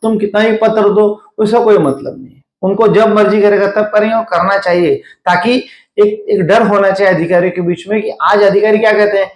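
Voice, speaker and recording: A man's voice: high-pitched, steady tone, fairly quick. A man with a Mumbai Hindi accent explains a civic matter in an interview, emphatically and step by step. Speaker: interviewee commenting on local civic affairs. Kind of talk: spoken news interview, explanatory commentary. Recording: echoey room sound.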